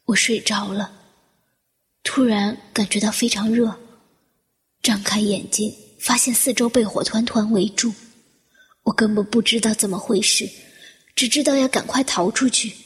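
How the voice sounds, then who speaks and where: Woman acting a high-voiced fire survivor, heavily echoing. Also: steady tone, lightly acted. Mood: subdued, guarded. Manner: dramatic, deliberate delivery. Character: injured woman fire survivor, anguished then coolly guarded, narrating her ordeal. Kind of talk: Chinese audio drama acting with introspective narration. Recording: echoey hall-like reverb, music underneath.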